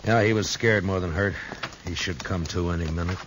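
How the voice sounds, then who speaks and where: An actor playing a calm, grave frontier marshal who also narrates a 1950s radio western, speaking in terse, measured lines. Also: male voice, deep voice, background noise, close to an everyday voice. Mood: weary, stern. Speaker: lead character and narrator.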